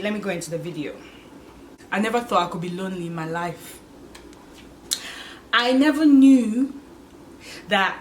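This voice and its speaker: Woman in her twenties, casual vlog chatter, candid and rambling, faint hiss behind. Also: mid-range voice. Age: 20s. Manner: chatty, candid, musing aloud with many "like"s. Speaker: vlogger.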